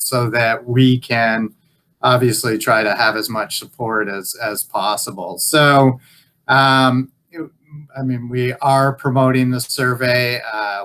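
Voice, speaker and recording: A man giving an informal update on a conference call in a halting, hesitant way. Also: mid-range voice, pushed and bright, rough recording. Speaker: local employer survey presenter. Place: group video call.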